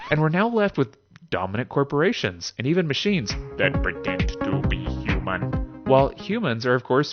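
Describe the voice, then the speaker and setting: A man's voice: mid-range voice, lo-fi sound. A man hosting a philosophy show, speaking in a video-essay style with casual asides, wry rhetorical questions and theatrical emphasis.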